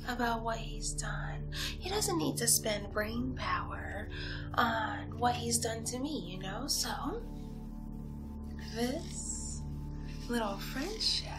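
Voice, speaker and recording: A woman acts out a playful young villainess, lonely and possessive, in an ASMR roleplay. Her voice sits fairly high and moves extremely slowly, dipping from coy murmurs into breathy whispers. Music plays clearly behind her. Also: animated. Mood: coaxing, possessive. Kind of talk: ASMR roleplay, soft talk and whispering to the listener.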